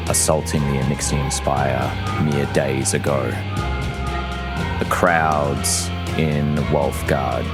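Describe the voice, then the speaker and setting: A man narrating a tabletop game as game master, measured and theatrical in his storytelling. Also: rumbling low. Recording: loud plucked-guitar music bed. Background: loud guitar music.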